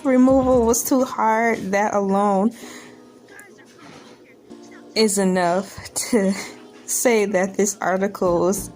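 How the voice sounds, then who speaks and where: A woman's voice: mid-range voice, fairly even intonation, slow pace, pauses often, a little mellow, faint music behind. A playful, easily amused woman hosting an online video, reading a news article aloud and commenting on it casually. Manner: playful, giggly, easily amused by what she reads.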